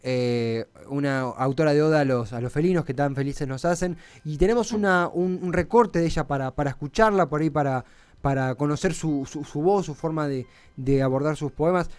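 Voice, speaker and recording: A man's voice: on the high side, moderate pace, darkish tone, smooth, dry recording. A man with an Argentine accent hosting a casual radio chat. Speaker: radio host.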